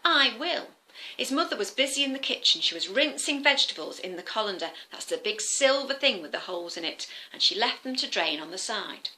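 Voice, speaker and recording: Animated, bright-voiced woman telling children's stories. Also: mid-range voice, brisk, hardly any pauses. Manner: animated, addressing listeners with questions and simple explanations. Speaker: children's storyteller, former teacher. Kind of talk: interactive storytelling for young children.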